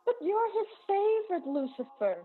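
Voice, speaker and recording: An actress playing an anxious, devoted follower angel in a radio play, earnest and fretful, over a thin call recording. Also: female voice, very high voice, sing-song, normal speed. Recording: thin phone-like video call sound, music behind.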